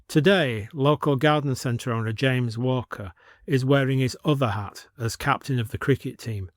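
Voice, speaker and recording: Man, audio story narrator, measured, descriptive, medium pitch, dry studio-like sound. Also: no echo.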